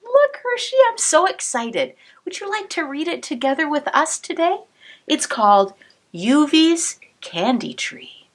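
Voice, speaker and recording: A woman cantor reading a picture book aloud to children, expressive, pausing to point out the pictures. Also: high-pitched. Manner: warm, expressive storytelling with asides to the listener.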